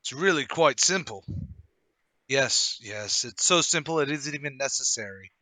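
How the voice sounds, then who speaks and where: A man playing a smug, haughty gentleman murderer in a radio play table read, with a theatrical delivery. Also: medium pitch, lively intonation, moderate pace, dry recording. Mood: coolly boastful. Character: smug gentleman murderer, flustered then coolly confessing.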